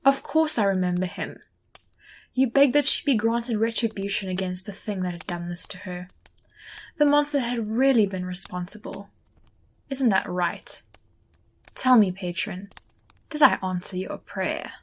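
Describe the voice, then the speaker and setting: Actress as haughty otherworldly mistress, mid-range voice, measured, mocking, audio drama. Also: female voice, mid-range voice, natural voice. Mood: cool mockery. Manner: measured, condescending, questioning. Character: imperious, mocking supernatural mistress who hears prayers.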